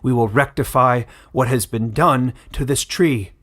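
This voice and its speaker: A man's voice: mid-range voice, measured pace, fairly bright tone. A man voicing a solemn, self-righteous old village elder who makes formal speeches in a fantasy story. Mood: solemn, grave.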